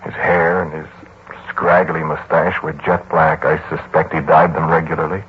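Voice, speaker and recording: An actor playing a weary, defensive man who tells his story in a halting, conversational, brooding way, in 1940s radio drama style. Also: a bass voice, level tone. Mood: brooding, reflective. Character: weary, defensive male narrator recounting a haunted house. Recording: muffled, hissy 1940s radio recording.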